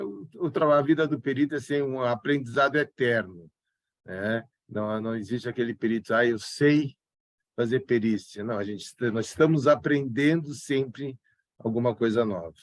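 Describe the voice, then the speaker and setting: A man in his fifties from São Paulo with a slight gaúcho accent, a forensic expert guest recovering from a cold and talking in a relaxed, rambling way. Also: medium pitch, normal speed, no room sound, clean studio sound. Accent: slight southern Brazilian gaúcho. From São Paulo, Brazil. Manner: relaxed, rambling, with frequent restarts. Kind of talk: casual interview talk.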